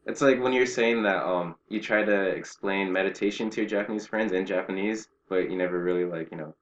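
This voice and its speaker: A young man chatting casually as an interview guest, peppering his talk with "like" and quick laughing asides. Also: deep voice, lo-fi sound. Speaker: guest, Japanese learner working in Japan. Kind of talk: casual conversation.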